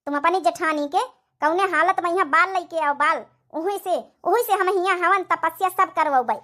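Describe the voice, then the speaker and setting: Woman acting a stern greedy guru sorceress, very high bright voice, imperious, chanting, village cartoon comedy. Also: moderate pace. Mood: commanding, scheming.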